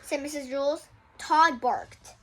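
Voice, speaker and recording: A woman's voice: sits high, slow pace, very noisy. A young girl hosting a casual read-aloud of a children's book, often breaking off to explain things to viewers in chatty asides.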